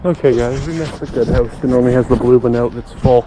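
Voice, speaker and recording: A man's voice: medium pitch, fairly even intonation, fast talker. Sniffly man vlogging outdoors, street noise. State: sniffly with a runny nose. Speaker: vlogger collecting bottles and scrap. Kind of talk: casual outdoor vlog chat.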